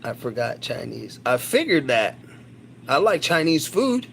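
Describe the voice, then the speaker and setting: A man's voice: mid-range voice, very animated, measured pace, creaky, a bit bright, a little hiss or hum. A man chatting casually to his viewers on a livestream, rambling, boastful and crude. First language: English.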